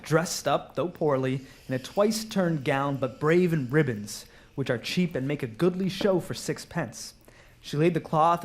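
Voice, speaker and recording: A man telling a story as a scripted narrator in a live radio drama. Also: on the high side.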